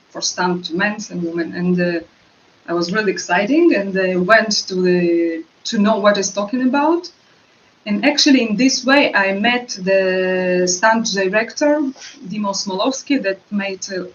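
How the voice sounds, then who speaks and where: Woman, Russian accent, non-native English, halting with fillers, mid pitch, dull lo-fi sound, faint hiss. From Russian-speaking. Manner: hesitant, many fillers, searching for words. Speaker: actress guest. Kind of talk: interview answers.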